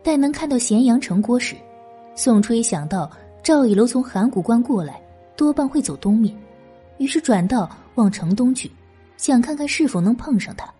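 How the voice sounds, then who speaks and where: A woman's voice: on the high side, animated, normal speed, mellow, slightly rough, soft background music. A woman reading a historical novel as scripted, dramatic audiobook narration.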